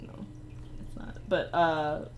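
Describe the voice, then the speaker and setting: A young woman chatting casually on a livestream, soft-spoken and rambling, often laughing. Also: low voice, level tone, slow, lo-fi sound, slight background noise. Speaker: streamer. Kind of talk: casual livestream chat while drawing. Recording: faint music behind. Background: faint background music.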